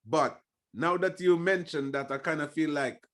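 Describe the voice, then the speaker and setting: A male podcast host with a slightly high voice asks eager questions and presses for clear answers. His manner is keen and persistent. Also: normal speed, fairly bright tone. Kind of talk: podcast interview.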